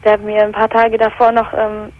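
A woman's voice: mid-range voice, monotone, fairly quick, phone-quality sound, faint music behind. A young woman in her teens from England, calling in and telling a personal story hesitantly, searching for words. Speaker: caller. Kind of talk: hesitant personal account on a call-in show.

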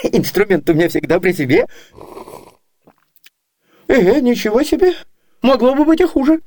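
A man's voice: very high voice, sing-song, moderate pace, darkish tone. A man playing a gluttonous, scheming, cheeky young servant in a comic radio play, theatrically muttering and exclaiming to himself. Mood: sly, greedy.

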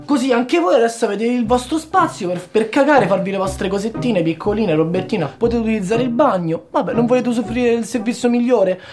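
A man with a Roman accent playing a pushy, scheming young flatmate in a comedy sketch, talking like an exasperated salesman. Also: very high-pitched, fairly quick, few pauses, soft background music. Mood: condescending. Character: pushy, scheming young male flatmate selling luxury toilet access. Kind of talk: naturalistic sketch comedy acting.

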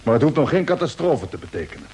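An actor playing a grave, commanding spaceship captain in an old-style radio play. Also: male voice, medium pitch, big pitch swings, fast talker, darkish tone. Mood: grave, resolute. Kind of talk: Dutch radio play acting, mid-century. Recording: noisy old radio drama recording.

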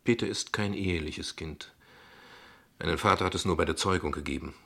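Low husky-voiced dad in a radio drama, guarded, wry, talks very formally. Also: male voice, fairly bright tone, full character voice. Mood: explaining, measured. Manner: measured, ironic, formally eloquent. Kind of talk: radio play dialogue acting.

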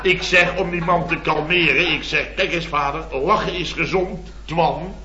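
An actor playing an exasperated, blustering boss in an old radio comedy, telling indignant tales with mimicked quotes. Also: male voice, fairly high pitch, fairly even intonation, moderate pace, pushed and bright. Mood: flustered, indignant. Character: blustering, exasperated male boss of a building firm. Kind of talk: 1970s Dutch radio comedy acting. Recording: muffled old radio recording, roomy, studio audience.

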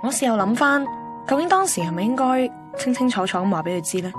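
An actress playing a concerned, easily flustered young woman friend in a Hong Kong Cantonese radio drama, colloquial and animated. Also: a little high, fast talker, natural voice. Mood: anxious, guilty. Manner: animated, colloquial, mixes in English words. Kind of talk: Cantonese radio drama dialogue and inner monologue. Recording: music bed under the voice.

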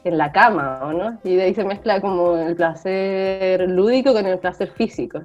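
A woman's voice: fairly low pitch, normal speed, darkish tone. A woman with a Chilean accent chatting casually as a podcast co-host. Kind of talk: casual chat. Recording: dull lo-fi audio, music bed.

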